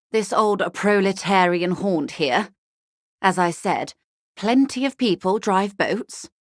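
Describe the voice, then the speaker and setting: A woman's voice: mid-range voice, dry recording, clean studio sound. A woman voicing a poised, wry, upper-class corporate negotiator in a video game, speaking in a polite, formal, faintly arch way. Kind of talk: video game dialogue acting.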